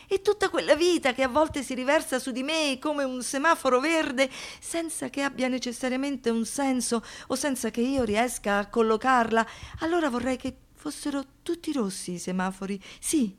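An actress playing an anguished survivor of violence in a poetic radio monologue, speaking in halting phrases that shift between hush and outburst. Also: female voice, sits high, lightly acted. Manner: halting short phrases, dropping to whispers, rising to outbursts.

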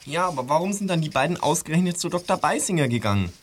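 An inquisitive young sleuth in a radio play. The delivery is very fast and animated, pressing questions insistently. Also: female voice, low voice, slight background noise. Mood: puzzled. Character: sharp, inquisitive young person questioning clues.

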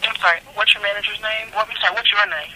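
A woman's voice: medium pitch, steady tone, very fast, pushed and bright. A woman, a hotel guest, complaining on the phone, polite but growing exasperated. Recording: noisy phone line.

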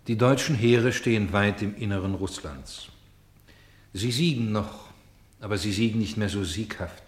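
Radio play narrator, male actor, lowish voice, measured and grave delivery, pronounced room echo. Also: close to an everyday voice. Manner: measured, solemn declamation. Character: narrator turned player, voicing cities, streets and houses. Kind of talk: German radio play acting.

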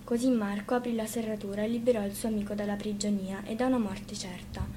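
A woman reading a fairy tale aloud as an expressive storybook narrator. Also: medium pitch, fast talker. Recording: faint noise, live radio reading.

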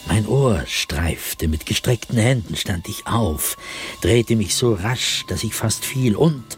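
An actor playing a tormented, desperate man obsessed with an unseen being, in a theatrical, halting German radio drama monologue. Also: medium pitch, darkish tone. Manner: halting, repetitive, dramatic, with anguished emphasis.

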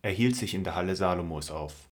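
A male narrator with a distinctly deep voice. He delivers scripted narration for a biblical audio drama. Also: brisk.

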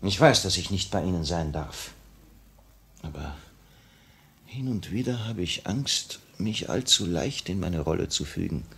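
A very deep, heavily husky male voice from a mid-century radio play. He is a brooding revolutionary leader, restless and intense, confiding in theatrical phrases. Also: expressive, measured pace, a bit bright, full character voice. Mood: troubled, conflicted. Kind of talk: 1950s German radio drama acting. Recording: old 1950 radio play recording.

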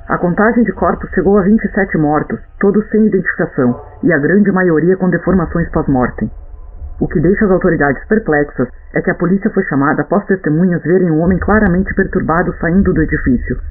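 A man playing a television news anchor, reading a report in formal, even tones through a speaker filter. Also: fairly high pitch, a little fast, breathy edge. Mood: grave. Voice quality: formal, even newsreader delivery. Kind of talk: news report in a radio drama. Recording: tinny TV-speaker sound.

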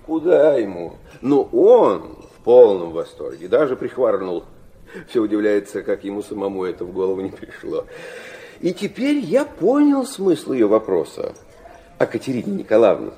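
An actor playing a worldly middle-aged gentleman father in a radio drama, speaking with mocking, theatrical irony. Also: lowish voice, big pitch swings, normal speed. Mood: amused, ironic. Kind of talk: Russian radio drama acting.

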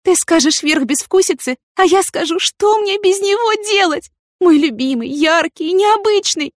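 A woman's voice: very high-pitched, animated, normal speed, no room sound, studio quality, voice pushed up. A woman voicing a vain, impulsive, dramatic young woman in an audiobook, with theatrical, indignant exclamations. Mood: frantic, wailing. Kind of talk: audiobook character acting.

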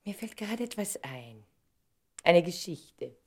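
Radio drama romantic lead, woman: wistful, husky, very expressive, dropping into murmurs. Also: lowish voice, measured pace, exaggerated voice. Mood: wistful, playful. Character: tender wistful woman reuniting with her first love. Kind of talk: intimate German radio drama acting.

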